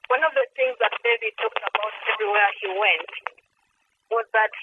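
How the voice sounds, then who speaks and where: A woman with a Ugandan accent speaks earnestly in the manner of an activist, often restating a phrase for emphasis. Her voice is fairly high for speech yet comes through a thin, narrow telephone line with some noise on it. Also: normal speed. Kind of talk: earnest advocacy talk on a conference call. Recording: tinny, noisy phone conference line.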